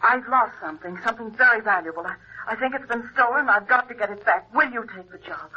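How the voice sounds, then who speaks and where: Urgent, rapid husky actress. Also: female voice, fairly high pitch. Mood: anxious, insistent. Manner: urgent, breathless insistence. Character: anxious, insistent, self-important female stage actress. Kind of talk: 1950s radio drama acting. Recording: muffled vintage radio telephone voice.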